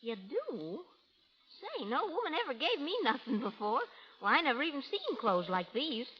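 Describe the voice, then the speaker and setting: A woman's voice: sits high, very animated, moderate pace. An American actress playing a brash, tough, fearless frontier cowgirl with a folksy drawl, in 1930s radio drama style. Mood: gruff, teasing. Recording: muffled old radio recording.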